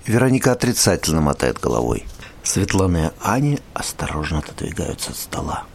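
A man serves as narrator of an audio drama. His voice is deep, and he reads scripted stage directions between the scenes. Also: big pitch swings, fairly quick, a little hiss or hum.

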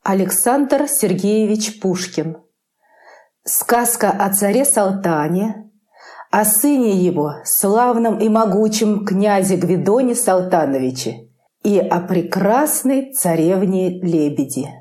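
Woman reading a storybook intro, really slow n soft dark tone. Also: mid-range voice, lively intonation. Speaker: audio play narrator. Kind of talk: scripted storybook title reading.

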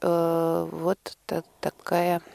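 A woman in a conversational studio interview, a producer pausing often to pick her words. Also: on the low side, completely flat delivery, vocal fry, warm tone, no echo. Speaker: theater producer, interview guest. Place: radio studio.

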